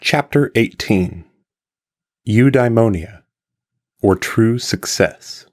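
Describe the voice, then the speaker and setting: A man reading an archaic philosophical text aloud in a formal, measured and deliberate manner, like an audiobook narrator. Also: lowish voice.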